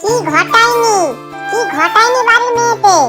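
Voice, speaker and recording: A woman's voice: very high-pitched, moderate pace. A woman playing an angry, dramatic village mother in a cartoon drama, complaining about her daughter. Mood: angry, scolding. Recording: music behind the voice.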